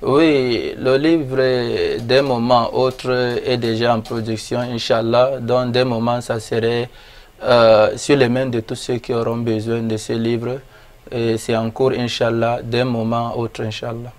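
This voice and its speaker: A man from Cameroon whose first language is Arabic, answering an interviewer in a measured, devout manner. His voice stays level and flat, sitting at a middling pitch, and he mixes in Arabic religious phrases. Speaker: imam and author, interview guest.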